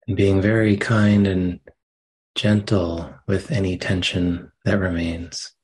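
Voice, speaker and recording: Male meditation teacher, very unhurried delivery, husky low register, gentle phrasing. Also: level tone, rough recording. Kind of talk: guided meditation, slow soft instruction.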